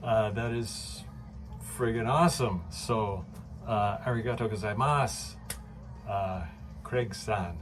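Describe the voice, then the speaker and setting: A man vlogging casually at home into his phone camera, chatty and excitable, with many fillers and frequent laughs. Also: lowish voice, takes it slowly, soft background music.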